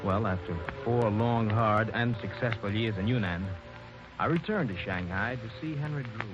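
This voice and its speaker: Actor as an eager young engineer, earnest, stumbling when flustered; lowish, expressive; dull old radio with music bed. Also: male voice, moderate pace, lightly acted. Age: young adult. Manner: stammering and earnest, rising to anger. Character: young ambitious male mining engineer narrating, bitter. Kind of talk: 1940s radio acting and narration.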